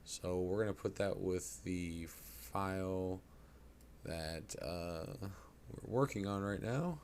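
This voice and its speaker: Very deep-voiced man giving a casual tutorial. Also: slow, a little mellow. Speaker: tutorial presenter. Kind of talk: casual screen-recorded software tutorial. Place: indoors at a computer. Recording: clear background noise.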